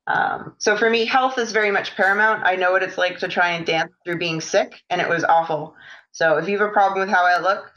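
A woman's voice: fairly low pitch, fairly even intonation, fairly quick, fairly bright tone, highs cut off. A woman dancer, a guest on a podcast, speaking earnestly and frankly in casual conversation. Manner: earnest, frank and matter-of-fact. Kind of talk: casual interview chat.

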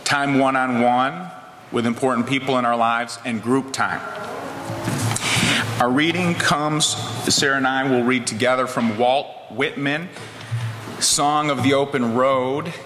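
A middle-aged American man, a minister, speaking from a pulpit with measured, deliberate delivery. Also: mid-range voice, steady tone. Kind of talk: introducing and reciting poetry at a pulpit. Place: large wood-panelled church, indoors. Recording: echoey church hall, loud background noise.

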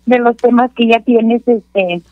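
A woman's voice: fairly high pitch, fairly even intonation, takes it slowly. A woman co-hosting a radio interview, enthusiastic and full of praise. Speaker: radio co-host. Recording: dull dry lo-fi line.

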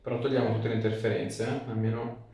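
A man's voice: medium pitch, little pitch movement, brisk, mellow. A man, an osteopath giving questions and instructions in a clinical consultation. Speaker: osteopath examining a patient. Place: small clinic treatment room, indoors. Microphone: camera mic a few metres away. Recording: very echoey room, distant mic.

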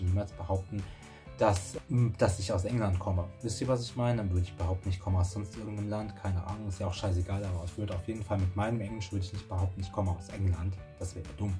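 A young man vlogging from his bedroom, telling a story in a chatty, rambling way with many restarts. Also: low-pitched. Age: young adult. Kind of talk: casual storytelling to camera. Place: small bedroom indoors.

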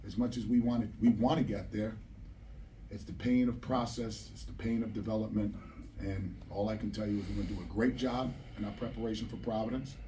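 A man's voice: lowish voice, fairly even intonation, a little fast, warm tone. A middle-aged man, a basketball head coach, answering questions bluntly and emphatically at a postgame press conference, repeating phrases for stress. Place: press room at a table. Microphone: table microphone, recorded from a distant camera. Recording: distant, slightly noisy press room.

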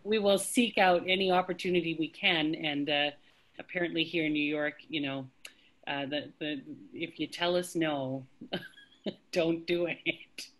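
A woman speaking thoughtfully on an online panel discussion over a video call, pausing often with fillers. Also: low-pitched, fairly even intonation, fairly bright tone. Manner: thoughtful, hesitant with many fillers. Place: home indoors.

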